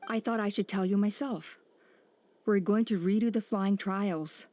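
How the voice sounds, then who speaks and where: Composed woman elder, measured, formal, mid-pitched, voiced by a woman. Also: mellow, natural voice. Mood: explaining.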